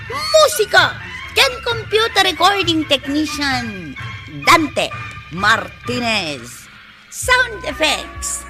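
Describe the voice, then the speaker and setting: Slow, sweeping Ilocano woman radio announcer, music behind. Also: high voice. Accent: Filipino, Ilocano. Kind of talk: scripted radio credits announcement.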